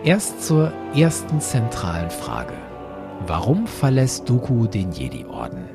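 A man's voice: mid-range voice, huge pitch swings, slow, vocal fry, music in the background. A man hosting a podcast, narrating and explaining, posing questions and answering them. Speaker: podcast host.

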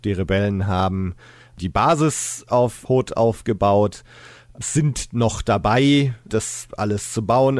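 Slightly low-voiced man, casual podcast talk. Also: darkish tone, no echo. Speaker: podcast guest. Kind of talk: casual conversational retelling.

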